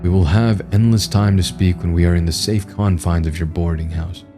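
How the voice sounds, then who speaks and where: A man voicing a calm, formal, contemptuous male entity that possesses a human body. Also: deep voice, moderate pace, soft background music, close to an everyday voice. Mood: cold commanding.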